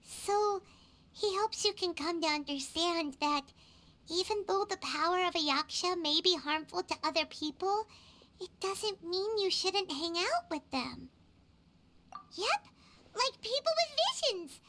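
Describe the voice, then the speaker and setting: A woman's voice: very high-pitched, animated, unhurried, pushed and bright, exaggerated voice. A woman voicing a tiny floating female companion in a game, bubbly and eager, with a reassuring tone. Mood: cheerful. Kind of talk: video game voice acting.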